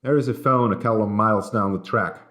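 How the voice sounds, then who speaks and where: A man's voice: on the low side, quick pace, roomy sound, natural voice. A man voicing an impassive, accusing train conductor in an audiobook, terse and pointed. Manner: flat, terse, pointedly emphatic.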